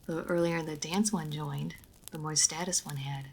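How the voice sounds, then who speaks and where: A woman playing a refined, reserved vampire noblewoman in a roleplay audio drama, speaking formally with measured diction, hesitant pauses and dry playfulness. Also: sits low, steady tone, darkish tone, slight background noise. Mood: wistful, reverent. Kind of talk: roleplay audio drama, intimate monologue to listener.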